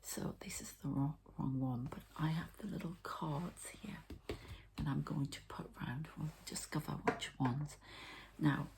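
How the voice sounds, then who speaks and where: A woman's voice: low-pitched, fairly even intonation, measured pace, vocal fry. A woman whispering breathily in an ASMR style, explaining things informally step by step with some hesitation. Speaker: ASMR host and energy healer.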